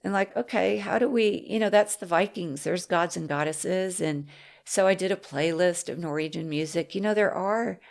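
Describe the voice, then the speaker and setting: Lower-pitched woman therapist with a gravelly voice, blunt and matter of fact on a podcast. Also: normal speed. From Texas. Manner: frank and firm, with frequent fillers. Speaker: psychotherapist guest. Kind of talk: casual conversational podcast.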